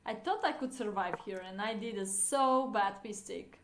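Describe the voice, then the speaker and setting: A woman's voice: medium pitch, normal speed. A woman streaming chess and thinking aloud casually in short, simple phrases with non-native English wording. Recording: roomy, noisy mic with keyboard clicks.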